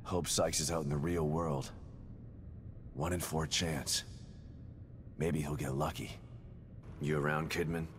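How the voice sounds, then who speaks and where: A man's voice: very deep voice, flat delivery, measured pace, pauses often, slight background noise. An actor playing a weary, grim, guarded American detective father in a video game, brooding and skeptical. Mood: wary, brooding. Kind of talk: video game cutscene acting.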